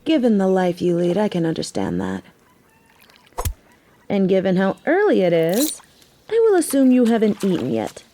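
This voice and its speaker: A woman performs a devoted biker girl in an audio drama. Her voice is mellow and dark at a medium pitch, and her delivery is teasing and wry, full of sarcastic snark. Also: huge pitch swings, moderate pace, long pauses, a little hiss or hum. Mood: wry, friendly. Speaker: voice actor in an audio roleplay. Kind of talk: scripted audio drama acting.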